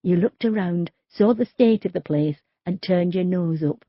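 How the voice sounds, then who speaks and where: A woman's voice: on the low side, dry recording, lo-fi sound. A woman reading the lines of a grieving, bitter working-class young man who swears and talks in a confrontational way.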